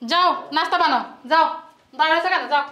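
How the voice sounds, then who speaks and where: A woman acting a nagging sister-in-law in a family drama, very high in pitch and quick-tongued. Her Bangladeshi speech is sarcastic and full of complaint. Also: sharp tone. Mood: sarcastic, scolding. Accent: colloquial Bangladeshi Bengali. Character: shrill nagging sister-in-law, quarrelsome wife.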